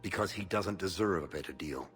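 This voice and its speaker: An actor playing a bitter, stubborn retired marine captain in a video game, with a terse, gruff delivery. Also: male voice, low-pitched, a little fast, a bit bright, faint music behind. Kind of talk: video game cutscene dialogue.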